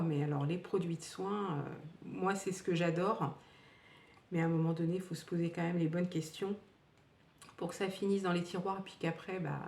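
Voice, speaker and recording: A woman in her fifties reviewing used-up beauty products in a casual, rambling way, with many fillers and restarts. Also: fairly low pitch. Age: 50s. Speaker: beauty vlogger. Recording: echoey room, distant from the mic.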